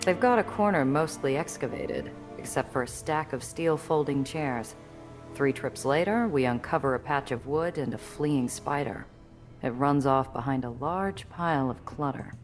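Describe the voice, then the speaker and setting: A tough, wry noir heroine for a game. Her voice is strikingly low for a woman, measured in description and blunt in conversation, over music. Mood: measured, observant. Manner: measured, literary narration with blunt casual asides. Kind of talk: scripted first-person game narration.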